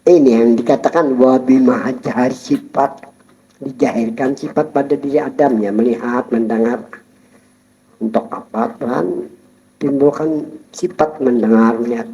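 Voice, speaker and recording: A man giving a Sufi study-circle lecture, explaining calmly and chuckling while he addresses his listeners. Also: medium pitch, unhurried. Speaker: religious teacher. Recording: dull lo-fi recording.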